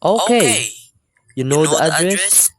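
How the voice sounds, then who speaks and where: A man playing a cold, businesslike crime boss in a student audio drama, speaking in a deliberate, matter-of-fact way. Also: a little high, sing-song. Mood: cold, instructing.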